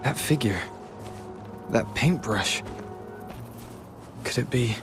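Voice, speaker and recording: Medium-pitched, observant young adventurer. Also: male voice, lively intonation, slow. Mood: focused, puzzled. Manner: musing to himself in short phrases. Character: calm, observant young male adventurer, investigating alone. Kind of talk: English video game voice acting. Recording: music behind the voice.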